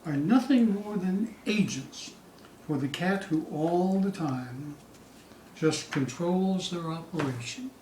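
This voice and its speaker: A man giving a theatrical poetry recital, dramatic and suspenseful, with drawn-out phrases moving between hushed dips and loud peaks. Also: fairly high pitch, lively intonation. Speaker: poetry reader. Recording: distant, echoey room with faint hiss.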